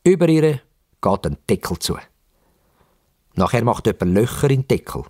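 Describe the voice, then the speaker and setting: A male storyteller with a Swiss German accent narrates for young children. His delivery is expressive and suspenseful, swinging widely in pitch around an average male register. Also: normal speed, no room sound, clean studio sound. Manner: expressive, suspenseful, with repetitions for effect.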